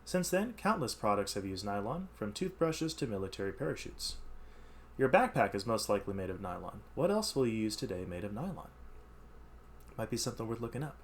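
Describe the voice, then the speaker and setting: A man's voice: lowish voice, very animated, fairly quick, slight background noise. A man reading educational text aloud as a teacher, stressing key words and adding informal explanatory asides.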